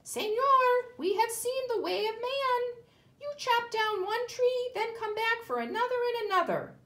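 A woman voicing a troop of monkeys with a high, loud, chattering voice. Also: animated, measured pace, full character voice. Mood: warning. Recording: echoey room.

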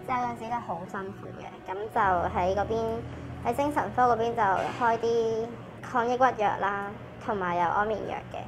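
A woman, a patient speaking softly and reflectively about her experience. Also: mid-range voice, noisy background. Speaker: patient in a support program. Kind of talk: personal testimony.

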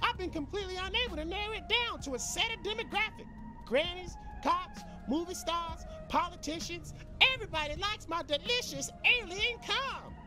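A man's voice: extremely high pitch, expressive, moderate pace, over music. A man playing a sleazy, chatty alien street peddler in a comedy video game, rambling through brash, shameless sales patter. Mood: frank, rambling. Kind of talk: improvised-sounding comedic video game dialogue.

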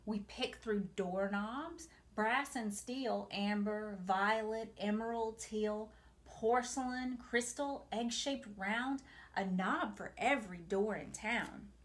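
A woman in her forties reading a picture book aloud to children in an expressive, deliberate way, with asides to her young listeners. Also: medium pitch. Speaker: storytime reader for a museum family programme. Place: small home room, indoors.

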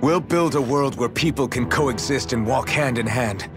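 An actor playing a resolute young hero in a video game, earnest and plain-spoken. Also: male voice, medium pitch, normal speed, over music, close to an everyday voice. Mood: determined. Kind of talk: scripted video game acting.

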